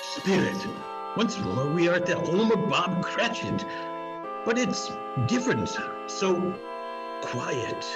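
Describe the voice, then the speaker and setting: A man playing a fearful, remorseful old miser, halting and theatrical, in a dramatic Christmas reading. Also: sits high, very animated, heavily acted. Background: music.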